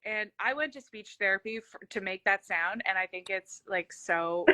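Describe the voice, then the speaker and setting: Bright-voiced woman host with a slight lisp. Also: medium pitch, normal speed, no echo. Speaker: interview host. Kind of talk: casual chatty interview.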